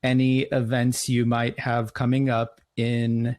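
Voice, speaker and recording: A man's voice: medium pitch, completely flat delivery, slow pace, darkish tone. Male podcast host, stumbling questions. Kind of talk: casual chat.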